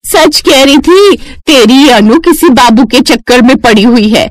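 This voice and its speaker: A woman puts on a suspicious mother-in-law from a cartoon family drama. She scolds and accuses melodramatically, her pitch high and leaping about, recorded very dry. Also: lively intonation, unhurried, dry recording, soft background music, poor audio. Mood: accusing. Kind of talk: dramatised cartoon story dialogue.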